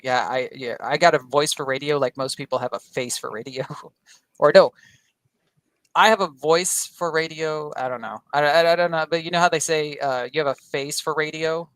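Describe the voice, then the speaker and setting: A man's voice: a little high, a bit bright, clear, resonant tone, no room sound. A man chatting as a guest on a call, rambling and poking fun at himself, with some swearing. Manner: rambling, self-deprecating, swears. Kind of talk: casual chat.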